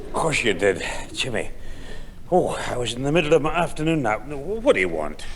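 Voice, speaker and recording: A man's voice: medium pitch, sing-song, moderate pace, a bit bright, slight background noise. An actor playing a grumpy, incredulous old grandfather who grumbles and exclaims in a 1960s radio sitcom. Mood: grumbling. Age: older. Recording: echoey old radio recording.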